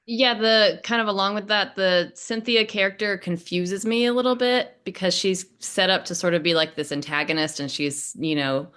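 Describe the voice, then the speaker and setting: A woman's voice: medium pitch, fairly even intonation, moderate pace, few pauses, fairly bright tone, clean tone. A woman actor giving casual, conversational feedback in a group discussion.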